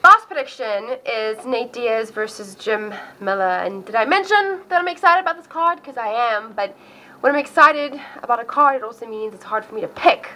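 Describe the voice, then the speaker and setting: A chatty, upbeat woman from South Africa whose accent drifts between South African and American. Her voice is bright and forward, and she talks casually to camera, often breaking off her own sentences. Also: on the high side, lively intonation, normal speed, a little hiss or hum, poor audio. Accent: mixed South African and American. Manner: chatty, upbeat, self-interrupting. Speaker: MMA video host. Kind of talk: casual fight predictions to camera.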